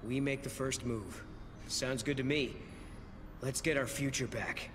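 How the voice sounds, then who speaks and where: An actor playing an earnest, casual-spoken young adventurer in a video game. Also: male voice, mid-range voice, unhurried, big-room sound, noisy background, close to an everyday voice. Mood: determined. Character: earnest young male time-traveling adventurer, determined. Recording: game music behind the voice.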